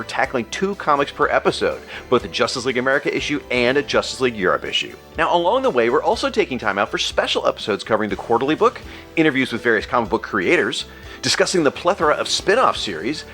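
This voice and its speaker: A man's voice: medium pitch, pushed and bright. A man narrating a scripted promo for his podcast as its host. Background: music.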